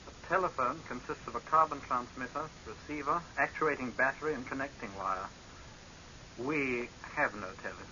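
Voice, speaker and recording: Sharp-voiced, coldly mocking young saboteur. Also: male voice, medium pitch. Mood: calm, defiant. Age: young adult. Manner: deliberate, measured, sardonic, menacing. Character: cold, mocking young male saboteur, calmly vengeful. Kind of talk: 1930s radio horror drama acting. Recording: hissy, dull 1930s radio recording.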